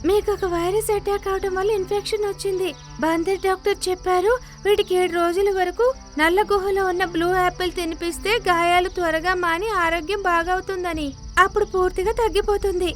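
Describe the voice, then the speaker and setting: A woman's voice: very high voice, fairly even intonation, brisk. A woman voicing a small, assertive female bird in a children's cartoon story. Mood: earnest. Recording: background music under the voice.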